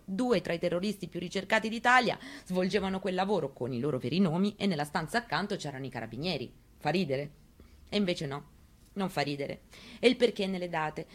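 A woman performing a dramatic reading as a grieving, defiant elderly mother, telling her story with pointed rhetorical questions. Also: fairly low pitch. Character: grieving elderly Italian mother, bitter, defiant, searching for answers. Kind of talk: dramatic stage reading of a memoir, monologue.

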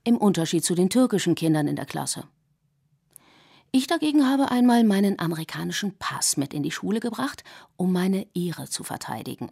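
A woman in her forties, a native English speaker raised in Germany, reading her own radio essay in a measured, reflective way. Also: fairly low pitch, expressive, gravelly, mellow, breathy edge. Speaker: essay author and narrator. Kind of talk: scripted radio essay narration.

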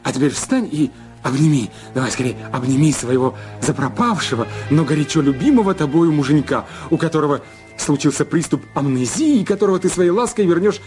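An actor in an audio drama playing a sleazy, mocking blackmailer husband, wheedling and drawling with gloating repetitions. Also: male voice, fairly high pitch, expressive, a little fast, darkish tone, soft background music, close to an everyday voice. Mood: wheedling, greedy.

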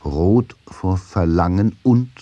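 Slow, deep-voiced male drama narrator. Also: warm tone, dry recording, muffled recording. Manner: measured, brooding, intense. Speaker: narrator of a radio play.

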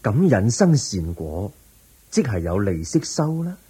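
A man acting a calm, patient, wise teacher in a radio drama, measured and gently instructive, with a Hong Kong Cantonese accent. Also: lowish voice, husky, exaggerated voice.

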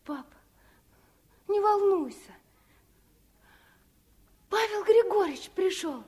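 A woman's voice: very high voice, animated, takes it slowly, warm tone, full character voice. An actress playing an affectionate, curious little girl in a radio play, earnest and childlike. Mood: anxious. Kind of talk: Soviet radio play acting.

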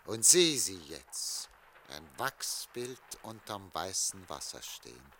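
Middle-aged dreamer, lowish forceful voice, weary and theatrical, radio play with slight noise. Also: male voice, big pitch swings, slow pace, heavily acted. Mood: entranced. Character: weary, wistful male official lost in memories. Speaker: actor. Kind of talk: German radio drama acting.

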